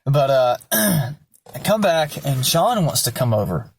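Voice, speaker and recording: A hoarse young man telling a personal story to his own camera at home, rambling and swearing freely. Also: mid-range voice, big pitch swings, slow, a little hiss or hum. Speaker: vlogger. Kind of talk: casual storytelling vlog. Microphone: self-filmed camera.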